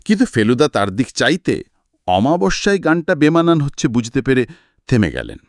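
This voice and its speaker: Male storyteller, dramatised audiobook narration, hugely varied intonation, mid pitch, dry sound. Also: normal speed, dry recording. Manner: expressive, acts out dialogue.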